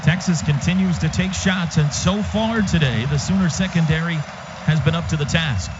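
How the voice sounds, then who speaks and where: Muffled sportscaster, higher-pitched man, loud background noise. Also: moderate pace. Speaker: radio play-by-play announcer. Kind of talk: live football commentary. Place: outdoor stadium radio booth. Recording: muffled radio feed, loud stadium noise.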